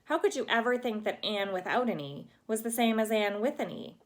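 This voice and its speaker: A dramatic, chatty young girl from a storybook who gushes in flowery, theatrical phrases, as a woman reads her. Her voice sits noticeably higher than the reader's own and is bright and eager. Her accent is hard to place. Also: expressive, brisk, natural voice. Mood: gushing. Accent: unplaceable.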